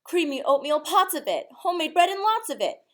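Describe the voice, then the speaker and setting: A woman reading a rhyming picture book aloud expressively, in a measured sing-song rhythm. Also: sits high, very animated, fairly bright tone.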